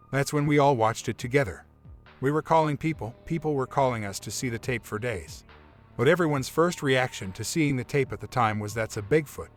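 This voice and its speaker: Man calmly reading a first-person story. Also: mid-range voice. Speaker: narrator. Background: faint background music.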